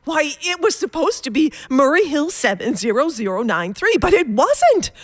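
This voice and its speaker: A woman's voice: sits high, very animated, normal speed, no room sound. A woman playing an imperious, panicky telephone caller in a radio thriller, melodramatic and insistent. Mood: flustered, urgent.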